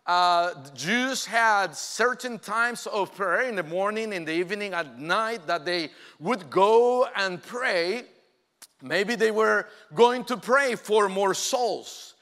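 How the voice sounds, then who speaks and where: A man, preaching a sermon in an earnest way, often pausing with "uh". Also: sits high, bright, forceful tone. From Mexico. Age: 40s. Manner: earnest, hesitant with frequent "uh" fillers. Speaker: pastor. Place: church sanctuary. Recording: long reverb from a large church hall.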